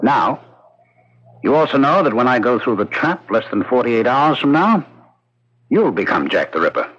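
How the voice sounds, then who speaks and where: A man's voice: mid-range voice, a bit bright, slightly rough, muffled recording, heavily acted. A man playing a mocking, menacing condemned prisoner in a 1970s radio drama, needling and insistent. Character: menacing, mocking male condemned prisoner claiming to be a killer's spirit. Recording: noisy, band-limited old radio recording.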